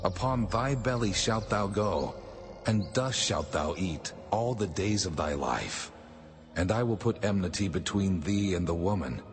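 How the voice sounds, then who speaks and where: An actor playing a stern, commanding God, solemn and authoritative, in a dramatized audio Bible with long reverb. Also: male voice, sits low, full character voice. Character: the Lord God, male, stern commanding judge. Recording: long hall reverb with music.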